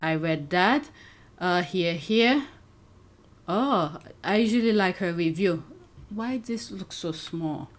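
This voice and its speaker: A woman's voice: on the low side, slow pace. A woman whose first language is not English, hosting a casual commentary show and reacting to clips with frequent laughter. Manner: animated, laughs often, talks to her audience. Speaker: host.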